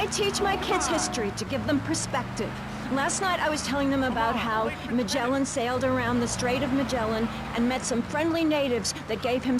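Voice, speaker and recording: A woman's voice: sits high, very noisy. An actress playing a prim, sanctimonious mother, speaking with sugary self-satisfaction as a moral campaigner on a satirical radio show.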